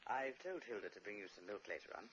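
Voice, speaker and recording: An actor playing a gentle, devoted husband, mild and a little hesitant, in a 1940s radio play. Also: male voice, on the low side, animated, fast talker, dull audio, lightly acted. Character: gentle devoted husband, soft-spoken, self-effacing. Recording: hissy old radio recording.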